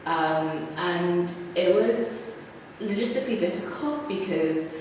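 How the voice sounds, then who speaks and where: A woman's voice: fairly low pitch, expressive, slow. Young woman trainee on a panel, says "kind of" a lot, far from the mic, big echoey room, some noise. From Nigeria. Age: 20s. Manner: thoughtful, many fillers like "kind of". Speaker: photography trainee. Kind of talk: panel discussion answers.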